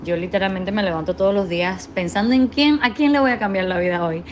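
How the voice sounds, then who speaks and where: A woman's voice: medium pitch, fast, dull audio, slight background noise. Earnest Cuban woman in her thirties. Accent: Cuban Spanish. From Cuba. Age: 30s. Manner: earnest, drops English fillers like "so". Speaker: guest. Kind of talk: podcast interview. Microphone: remote call.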